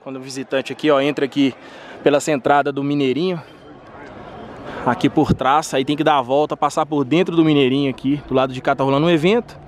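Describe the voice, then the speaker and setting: A young man vlogging on a busy street on the way to a football match, talking fast in joking slang to the camera held at arm's length. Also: mid-range voice, steady tone. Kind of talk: excited casual vlog chatter. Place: outdoors on a busy street near a stadium. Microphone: handheld camera at arm's length. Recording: outdoor street noise. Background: street noise, passing fans.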